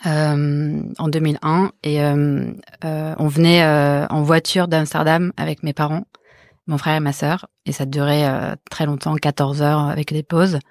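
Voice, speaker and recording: A woman in her thirties, a visual artist born in the Netherlands and raised in France, answering interview questions in a soft, reflective, halting way. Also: low voice, flat delivery, no echo, clean studio sound. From born in the Netherlands, raised in Marseille. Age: 30s. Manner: many fillers and restarts, soft and reflective. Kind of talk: hesitant interview talk.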